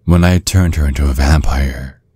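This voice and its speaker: A man playing a seductive, possessive vampire in an intimate ASMR roleplay, husky and breathy, with a teasing, menacing drawl that often sinks to a whisper. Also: very deep voice, mellow, no echo, clean studio sound, exaggerated voice. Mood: possessive, gloating. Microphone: close, dry microphone.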